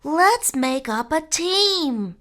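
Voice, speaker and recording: A male narrator voicing a confident schoolgirl who calls out brightly and eagerly. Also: very high-pitched, sing-song, extremely slow, voice pushed up, exaggerated voice.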